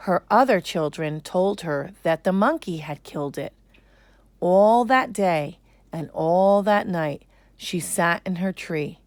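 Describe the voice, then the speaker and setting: A woman reading a children's story aloud as an expressive narrator. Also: fairly low pitch, takes it slowly, dry recording.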